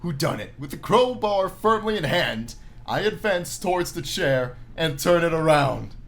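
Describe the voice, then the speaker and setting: Man, gaming video host, somewhat high pitch, swooping lively intonation, casual read-aloud commentary, playful storytelling. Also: unhurried. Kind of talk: visual novel let's play, reading game text aloud with casual commentary.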